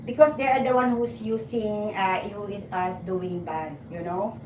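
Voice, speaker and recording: A woman's voice: medium pitch, fairly even intonation, darkish tone, slight background noise. A woman in her forties from the Philippines, with a Filipino accent, hosting a livestream. She chats and teases her viewers, laughs often, and restarts her sentences. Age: 40s. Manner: chatty and teasing, laughs often, halting restarts. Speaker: livestream host. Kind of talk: casual chat with viewers. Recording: roomy, distant mic, noticeable background ambience.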